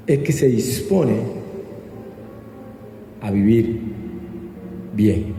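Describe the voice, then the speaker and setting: A man's voice: mid-range voice, dark tone. Colombian male preacher in an echoey hall. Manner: didactic, prompting listeners to repeat and answer. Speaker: pastor preaching. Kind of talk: sermon with rhetorical questions and call-and-response. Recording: echoey hall with long reverb, background noise.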